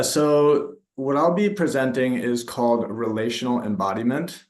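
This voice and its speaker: A man from the United States, a somatic therapist, answering interview questions in a calm, thoughtful way and searching for his words. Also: medium pitch. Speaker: somatic therapist, workshop facilitator. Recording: roomy echo.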